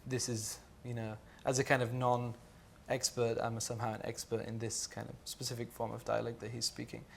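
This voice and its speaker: A man's voice: mid-range voice, monotone, normal speed, vocal fry, slight background noise. A man from Jordan talking through his thoughts at a public panel. He hesitates often, backtracks and restarts his sentences, and fills the gaps with "um" and "like". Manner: hesitant, many fillers and restarts. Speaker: artist speaker at a panel talk. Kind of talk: reflective panel conversation.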